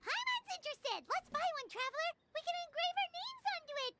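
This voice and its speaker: A woman voicing a tiny, excitable childlike female fairy companion in a video game, squeaky and chirpy. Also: fast talker, very noisy. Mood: excited. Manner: chirpy, refers to self in third person. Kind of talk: video game character acting.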